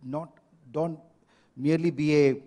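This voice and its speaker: A man's voice: on the high side, steady tone, a little slow, warm tone. Man giving firm advice on a panel. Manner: advisory, with restarts and firm imperatives. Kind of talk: conference panel discussion. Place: large hotel conference hall. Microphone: handheld mic.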